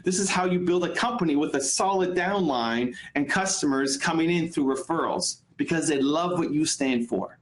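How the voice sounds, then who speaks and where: Higher-pitched man coaching in an echoey room. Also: moderate pace, hardly any pauses. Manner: emphatic, repeats phrases for effect. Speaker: business author and speaker giving advice. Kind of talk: motivational business coaching talk.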